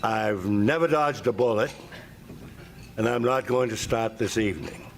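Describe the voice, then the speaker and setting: Slow, gravelly man speaking formally. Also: fairly low pitch, slight background noise. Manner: measured, deliberate and formal. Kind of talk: formal public statement.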